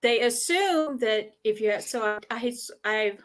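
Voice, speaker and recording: A middle-aged woman with a faded East Texas Southern accent, chatting casually and telling stories in an animated way. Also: a little high, measured pace. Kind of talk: casual chat.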